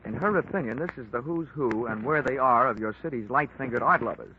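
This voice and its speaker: An actor playing a dry, wisecracking American investigator who also narrates, in a 1940s radio drama. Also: male voice, medium pitch, brisk, natural voice. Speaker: narrator and lead. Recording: noisy lo-fi old radio recording.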